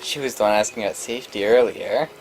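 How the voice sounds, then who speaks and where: A woman's voice: extremely low pitch, fast, a little mellow, low-quality recording. A woman giving casual, joking game commentary as a let's play co-host. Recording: faint background noise.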